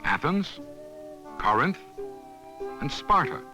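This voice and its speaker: A man reads scripted documentary narration in a measured, instructional manner, as on a 1970s educational film soundtrack. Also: a little high, very animated, over music.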